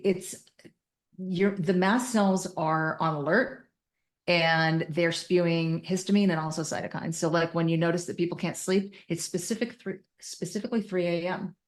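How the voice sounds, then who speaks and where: Female interview guest, clinician, notably low register, detailed and emphatic explainer. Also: gravelly. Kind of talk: explanatory interview talk from personal and clinical experience.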